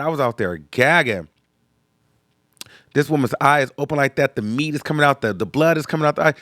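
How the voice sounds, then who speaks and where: A young man hosting a casual reaction video, sounding nasal and congested from sinus trouble, chatty and animated. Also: a little high, no room sound, clean studio sound. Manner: chatty, animated, tag questions like okay. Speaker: host.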